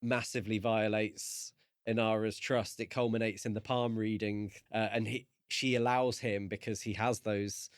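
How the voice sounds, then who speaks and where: A man's voice: on the low side, flat delivery, normal speed, clear, resonant tone, dry recording. Man in dry, close-sounding casual discussion. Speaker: podcast co-host.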